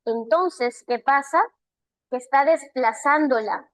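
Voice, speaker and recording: A woman with a Peruvian Spanish accent leads a live online lesson, her voice high and bright. She speaks warmly, keeps asking "right?" to check understanding and praises students by name, and the sound is close and dry with no room echo. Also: slow. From Peru. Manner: asks many rhetorical check questions. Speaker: language teacher. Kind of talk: live online class, answering student chat questions.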